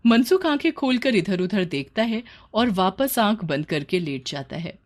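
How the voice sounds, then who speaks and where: Woman narrating a story at medium pitch. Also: sing-song, moderate pace.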